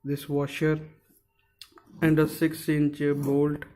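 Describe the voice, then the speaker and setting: Slow, halting man. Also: a little high, monotone, background noise. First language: Punjabi. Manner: hesitant, searching for words, many fillers. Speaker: DIY maker. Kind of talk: casual how-to narration.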